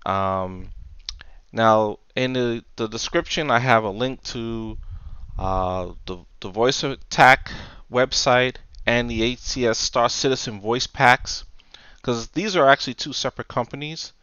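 A man giving a casual software tutorial, explaining things step by step, close on the mic in a voice-over recording. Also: medium pitch, a little slow, clean tone, dry recording, lo-fi sound. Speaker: tutorial presenter.

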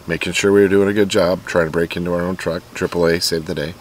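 A man in his forties hosting a casual camping vlog with dry, low-key humor, recorded outdoors at a campground on a lapel mic. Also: level tone, fast. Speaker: vlog host. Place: outdoor campground under a canopy.

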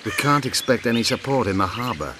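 An actor playing a proud, bitter elven rebel commander in a fantasy game, grave and declamatory. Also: male voice, mid-range voice, very animated, a little fast, noisy background, natural voice. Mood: commanding. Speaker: video game character actor. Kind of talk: game dialogue acting.

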